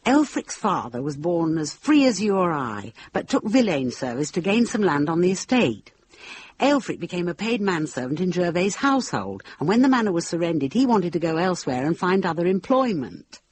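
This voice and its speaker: A woman's voice: lowish voice, very animated, normal speed, darkish tone, a little hiss or hum. An actress playing a middle-aged widowed lady of a manor in a radio drama, genteel, candid and loyal. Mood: sorrowful, candid. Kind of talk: British radio drama acting.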